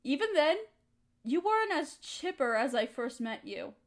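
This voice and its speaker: A woman's voice: sits high, very animated, normal speed. A woman playing a hurt, resentful young woman confronting her roommate in an emotional, accusatory audio roleplay monologue. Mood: bitter, reminiscing. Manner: emotional, accusatory, pointed rhetorical questions.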